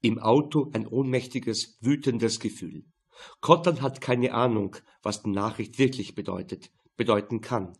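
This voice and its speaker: A man with an Austrian accent narrating a crime novel in a matter-of-fact, slightly husky voice. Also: mid-range voice, a little slow. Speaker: audiobook narrator.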